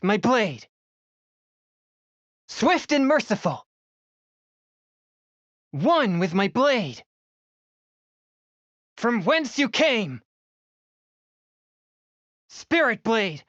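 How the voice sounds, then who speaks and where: A man voicing an earnest, disciplined teenage boy exorcist in a video game, speaking in a formal, measured and slightly bashful way. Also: very high-pitched, a bit bright, no room sound, lo-fi sound, heavily acted. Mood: fierce battle cries. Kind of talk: video game character voice lines.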